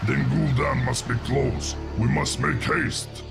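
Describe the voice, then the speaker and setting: A man playing a vengeful orc warrior commander in a fantasy game, speaking in a grave, deliberate manner. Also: mid-range voice, steady tone, creaky, over music. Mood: grave, wary.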